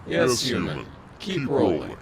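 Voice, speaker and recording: A man voicing an arrogant, vengeful male rival werewolf in a dramatic roleplay audio, gravelly, theatrical and formal in his wording. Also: mid-range voice, huge pitch swings, unhurried, a loud crowd. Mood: gloating.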